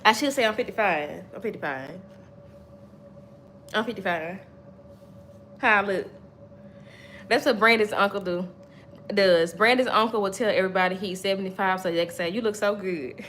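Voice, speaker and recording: A woman's voice: medium pitch, moderate pace, pauses often, a bit bright, a little hiss or hum. A woman in her thirties giving an emphatic, repetitive faith-based motivational talk to livestream viewers. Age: 30s. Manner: preachy, emphatic, repeats points, chats with viewers. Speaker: livestream host.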